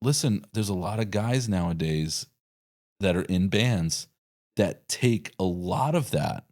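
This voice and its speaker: A man's voice: low-pitched, lively intonation, a little slow, a little mellow. A man in his thirties hosting a podcast, chatting casually in a rambling way and cursing freely. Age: 30s. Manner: rambling, swears freely, acts out quotes.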